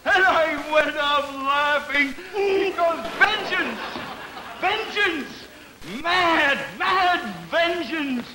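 An actor playing a deranged, vengeful drifter in a theatrical, drawn-out, melodramatic style for a 1950s television comedy sketch. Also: male voice, very high-pitched, heavily acted. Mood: raving fury. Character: wild-eyed deranged drifter, mad with vengeance, wheedling then raging. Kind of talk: 1950s live television vaudeville sketch acting. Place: television studio stage, live show. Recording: noisy old 1950s television broadcast recording.

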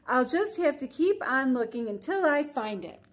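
A woman's voice: high voice, very animated, moderate pace, voice pushed up. A woman voicing a cranky, upset young male storybook character who wails and insists. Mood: upset, insistent. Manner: emphatic, wailing, insistent.

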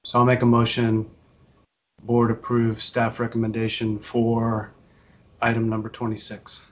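A board member speaking formally at an online meeting, making a motion and thanking a colleague. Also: male voice, mid-range voice, monotone, a little slow. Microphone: video call.

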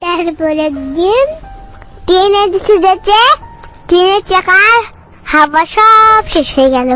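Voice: very high voice, animated, a little slow, pushed and bright, full character voice. A cartoon voice of a bossy little girl, self-assuredly telling absurd tall tales in a childish, scolding manner. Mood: earnest, dramatic. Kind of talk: comic cartoon dialogue. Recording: dull lo-fi audio, music behind.

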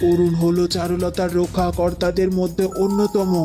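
A scheming forest sorceress, acted by a woman for a fairy-tale cartoon. Her delivery is even and ominous, giving orders with little rise or fall, over clear background music. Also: lowish voice, moderate pace, natural voice. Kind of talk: dramatized Bengali fairy-tale cartoon acting.

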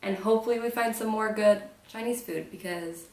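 A woman's voice: mid-range voice, fairly quick, darkish tone, distant mic. A woman in her twenties chatting casually for a travel vlog, upbeat and joking. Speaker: travel vlogger. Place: living room sofa, indoors. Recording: roomy echo, faint music.

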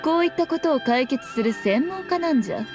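A woman's voice: high-pitched, lively intonation. A woman voicing a sly, haughty fox shrine priestess in a game, speaking in an archaic, lofty manner. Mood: sly, teasing. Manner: archaic, lofty, unhurried speech. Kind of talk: voiced game dialogue. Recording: game music behind the voice.

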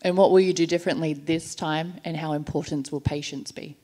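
Female reporter with a low voice, putting questions at a press event. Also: steady tone, brisk, mellow.

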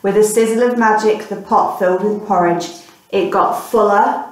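A woman's voice: medium pitch, moderate pace. A woman in her thirties reading a picture book aloud to a child, as a teacher and mother would. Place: small plain room indoors. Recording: very echoey room, distant microphone.

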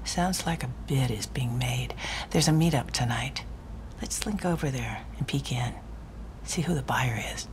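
An actress voicing a sultry, sly female criminal planner in a video game, breathy, smooth and teasing. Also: very deep voice, measured pace, slight background noise. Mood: sly, seductive. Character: sultry, sly female crime boss's right hand. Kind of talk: cinematic video game acting.

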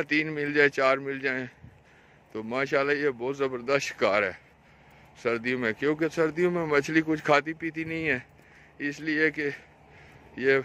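Pakistani man vlogging outdoors with background noise. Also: pushed and bright. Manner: repetitive, pointing things out to viewers. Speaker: fishing vlogger. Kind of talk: casual vlog commentary. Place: outdoors on an open road along a dam. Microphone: handheld phone camera, speaker behind it. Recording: noisy outdoor phone video, ambient rumble.

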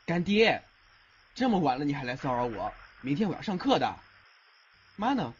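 A man's voice: fairly high pitch, very animated, moderate pace, breathy edge, heavily acted. A man playing a curt, cool young male student who sees ghosts, in an audio drama. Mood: exasperated. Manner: curt, cool, threatening when annoyed. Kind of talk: Chinese audio drama acting. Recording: dull lo-fi audio, background music.

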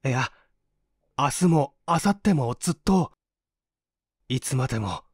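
A man's voice: medium pitch, animated, slow, slightly rough, no echo, clean studio sound. A man voicing an earnest, courteous young man in a dramatic story reading. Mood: tender, earnest. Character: earnest, polite young man, grateful and modest.

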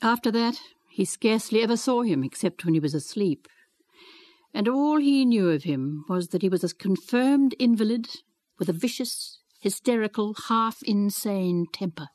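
A woman's voice: mid-range voice, animated, normal speed, darkish tone, no echo. A woman reading classic fiction aloud as scripted narration for a radio programme. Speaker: reader of a novel excerpt.

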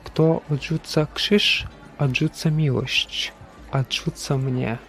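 A man playing a stern, solemn Christ in a religious drama, deliberate and questioning like a preacher. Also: a little high, steady tone, creaky, a bit bright, soft background music, exaggerated voice. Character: stern, solemn Christ speaking from a broken figurine. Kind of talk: dramatic religious stage-play acting.

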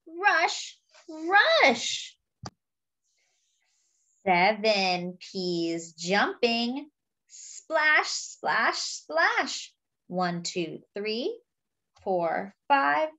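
A young woman reads a picture book aloud for little children. Her voice sits fairly high and swings widely in pitch. She goes very slowly, with a deliberate sing-song delivery made for young listeners. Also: bright, forceful tone. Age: young adult. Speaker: children's story reader.

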